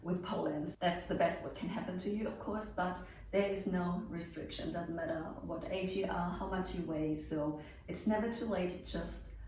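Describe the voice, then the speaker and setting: A chatty young woman in her late twenties answers viewer questions in an upbeat, joking way. Her voice sits slightly low, and she is picked up from a distance in a strongly reverberant room. Faint hiss runs underneath. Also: normal speed, a little mellow. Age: 20s. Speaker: pole dance instructor. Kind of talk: casual Q&A vlog.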